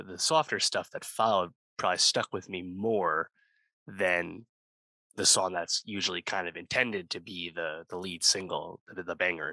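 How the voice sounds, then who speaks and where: A man with a low, bright voice talks through a music review in a loose, rambling way, full of fillers and restarts. He sounds close to the mic in a dry, dead room. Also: vocal fry, no echo, poor audio. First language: English speaker, not Korean. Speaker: podcast co-host. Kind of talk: casual music review chat.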